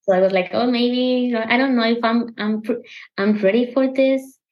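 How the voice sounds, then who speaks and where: A woman from Mexico with a Mexican Spanish accent, a podcast guest talking in a halting way, restarting and repeating words. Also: on the high side, steady tone, dull audio. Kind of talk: casual interview chat.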